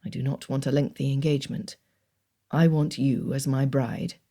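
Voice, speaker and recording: A woman voicing a reserved young gentleman suitor who speaks low, hushed and earnest. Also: moderate pace.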